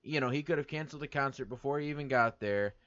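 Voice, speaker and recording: A man's voice: medium pitch, fast talker, a bit bright. A man from the United States co-hosting a casual chat show, rambling with many fillers and repeated words. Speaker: podcast co-host. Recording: dull lo-fi sound.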